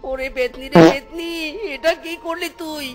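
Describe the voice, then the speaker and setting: Woman voicing a high-voiced hapless fox husband. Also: fairly even intonation, normal speed, close to an everyday voice. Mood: wailing. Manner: exclamatory, wailing, melodramatic. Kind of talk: comic cartoon voice acting. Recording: music behind the voice.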